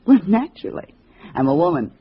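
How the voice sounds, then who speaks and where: Low-voiced, seductive female communist lure. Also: big pitch swings, fairly quick. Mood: coaxing, flirtatious. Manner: gushing, coquettish. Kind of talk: 1950s radio drama acting. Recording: muffled vintage radio recording, faint hiss.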